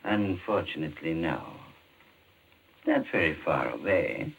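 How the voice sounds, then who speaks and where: An actor playing a mysterious, formally courteous pawnshop keeper and chess devotee in a 1940s radio mystery. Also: male voice, a bass voice, lively intonation, vocal fry, breathy edge, full character voice. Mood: sly, deliberate. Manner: formal, deliberate, unctuously polite. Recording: tinny, band-limited 1940s radio recording.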